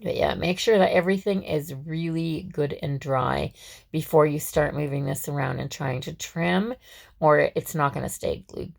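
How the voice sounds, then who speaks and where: A woman with a deep, gravelly and scratchy, hoarse voice. She walks through a craft tutorial in a chatty, rambling way, full of ums and restarts. It sounds like a home crafter talking at her table. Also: moderate pace. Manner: chatty, with frequent fillers and restarts. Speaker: craft vlogger. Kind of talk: casual step-by-step craft tutorial.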